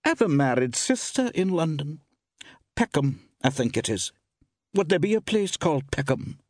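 A man voicing a cool, inquisitive village shopwoman with a slow, deliberate delivery, in an audiobook story. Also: fairly high pitch, very animated, no room sound, clean studio sound, voice pushed up. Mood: slightly warmer, curious.

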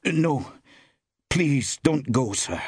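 Man playing an earnest young man, husky and insistent. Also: low-pitched, expressive, normal speed, no room sound, studio quality. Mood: breathless, urgent. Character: earnest, urgent young man with a secret errand.

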